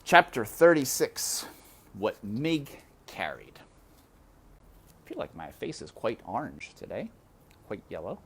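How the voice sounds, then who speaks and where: A man reading a children's story aloud in a dramatic, deliberate manner, addressing the listener directly. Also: on the low side, sing-song, a bit bright, slightly rough. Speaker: read-aloud narrator. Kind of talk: expressive storybook read-aloud.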